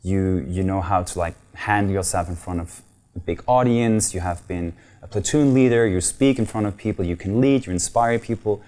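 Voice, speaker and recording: A man's voice: sits low, normal speed, darkish tone. A man in his thirties from Germany with a German accent, talking earnestly as an interview guest with some hesitant fillers. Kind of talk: casual interview talk.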